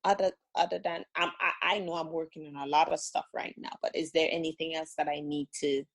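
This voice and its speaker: A woman with a Caribbean accent calls in and talks in a halting, earnest way, full of restarts. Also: low voice, flat delivery, vocal fry. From the Caribbean islands. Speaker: caller. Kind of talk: casual call-in conversation.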